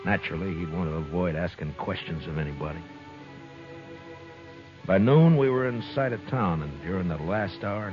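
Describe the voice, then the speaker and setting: An actor playing a stern frontier marshal in an old radio western, narrating and speaking in a measured, grave manner. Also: male voice, low voice, natural voice. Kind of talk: 1950s radio drama narration and acting. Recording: muffled old radio recording with music.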